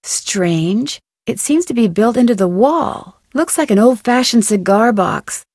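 A young woman with an American accent playing a curious, upbeat teenage girl detective in an adventure game, speaking in a clear, inquisitive way. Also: on the high side, expressive, a little slow, a little mellow, no echo, clean studio sound. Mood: musing. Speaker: video game voice actor. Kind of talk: scripted adventure game lines, thinking aloud.

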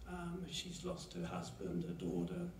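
A man gives a halting, pause-filled eulogy for his mother at a chapel lectern. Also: high-pitched, sing-song, gravelly, a little mellow, a little hiss or hum. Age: 70s. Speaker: son giving a eulogy. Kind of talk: memorial eulogy. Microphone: lectern mic, heard from a far camera. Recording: roomy chapel echo from far off.

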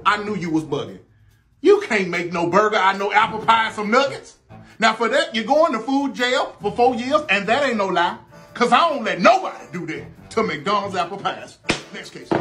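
A young man hosting a comedy skit as a judge, muttering low commentary and then loudly rapping rhyming sentences. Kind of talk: comedic food review skit with rhymed verdicts. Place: small room dressed as a courtroom, indoors.

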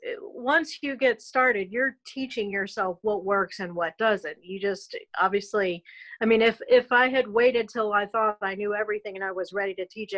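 A woman's voice: mid-range voice, fairly bright tone, low-quality recording. A woman who runs a music school, explaining her approach on a video call panel in an earnest, explanatory way with some restarts. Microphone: video call laptop mic.

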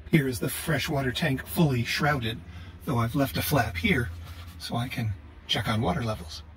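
An older man calmly narrating a do-it-yourself build video in a measured, matter-of-fact way. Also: lowish voice, a little hiss or hum, poor audio. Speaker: vlogger. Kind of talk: calm how-to narration.

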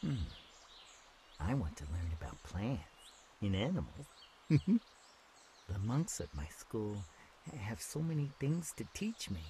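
A woman voicing a confused, wondering young child in a video game cutscene, musing aloud in a halting, emotional way. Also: rumbling low, sing-song, dark tone, a little hiss or hum, full character voice. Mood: curious, musing.

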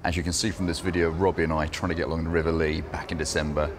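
A man's voice: very deep voice, little pitch movement, very fast, a little hiss or hum. A man in his forties casually walking through a build in his indoor workshop garage, explaining step by step with fillers. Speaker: maker presenting his build.

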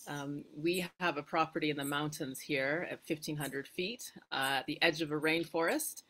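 A middle-aged Canadian woman speaks in a low voice. She is reflective and casual, pausing to find her words as she talks over a video call from an outdoor porch. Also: fairly bright tone. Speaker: guest, retreat owner and filmmaker. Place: outdoor wooden porch by a garden.

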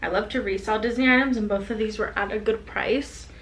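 A woman's voice: mid-range voice, fairly even intonation, fairly quick, a bit bright. A woman in her twenties chatting casually to the camera on her desk as she shows off a clothing haul. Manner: chatty, upbeat, showing items to camera. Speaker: reseller vlogger. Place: small home room with clothing rack. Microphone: camera on desk at close range. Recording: echoey room, faint background hiss.

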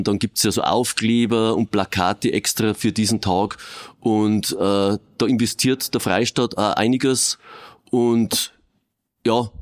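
A man in his late fifties from Bavaria with a Bavarian accent, chatting casually as a police officer guest on a podcast. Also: lowish voice. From Regensburg, Bavaria. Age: late 50s. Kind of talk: casual, chatty interview answers.

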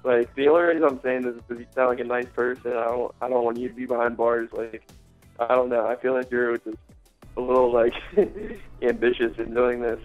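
A man's voice: medium pitch, level tone, fairly quick, soft background music. A man chatting casually on a phone line in a hesitant, hedging way. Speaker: caller on a chat line. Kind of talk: casual chat. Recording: dull lo-fi phone call.

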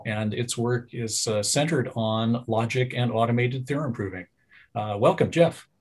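A man's voice: mid-range voice, normal speed, slight background noise. A middle-aged man hosting an interview over a video call, speaking hesitantly with frequent fillers. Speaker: interview host. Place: room at home. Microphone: video call webcam. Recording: dull lo-fi video call audio.

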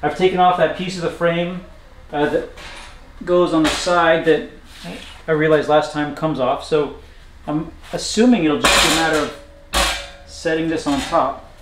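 A man with a slightly higher voice explains his motorcycle build in an easygoing, matter-of-fact way, often pausing on "uh". He is recording in a hot, echoey home garage, with a fan humming faintly behind him. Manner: relaxed, matter-of-fact, frequent "uh" pauses. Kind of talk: casual workshop narration. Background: fan noise.

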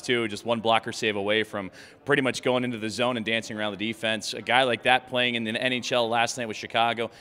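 A man's voice: on the low side, pushed and bright. A man interviewing a coach after a hockey game, asking long, upbeat questions packed with stats and player names. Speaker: team broadcaster interviewer. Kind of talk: sports postgame interview.

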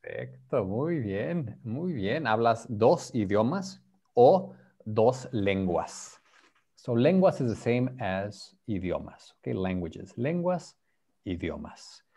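A male language teacher on a video call, leading a beginner group class slowly and patiently. His speech is very unhurried, with a lively pitch and a gravelly edge. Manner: patient, prompting students, mixing Spanish and English. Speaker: Spanish teacher.

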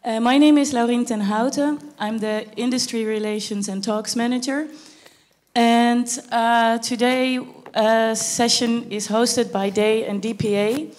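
A woman with a handheld mic on a dark theater stage, giving a polite, slightly hesitant welcome to a festival session. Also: fairly high pitch, steady tone, measured pace. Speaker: festival talks manager. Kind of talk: formal welcome. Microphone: handheld microphone.

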